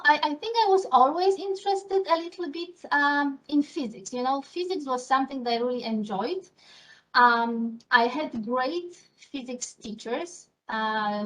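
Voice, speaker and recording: A woman with a Polish accent and a slightly high voice speaks as a scientist in an interview. She explains things thoughtfully, pausing often with fillers and rephrasing as she goes. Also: low-quality recording. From Poland. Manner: thoughtful, many fillers and restarts. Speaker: beamline scientist. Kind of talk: interview answers.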